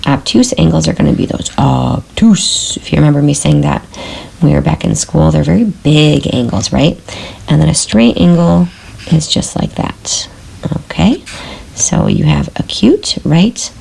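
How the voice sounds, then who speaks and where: A woman teacher giving a recorded math lesson in a hoarse voice from a sore throat, patiently checking in with her students with a frequent "okay?". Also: low-pitched, measured pace, warm tone, poor audio.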